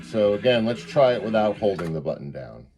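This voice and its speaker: A man's voice: on the low side, sing-song, brisk, poor audio. Casual male demonstrator, music in background. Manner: explains step by step, thinking aloud. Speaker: product demonstrator.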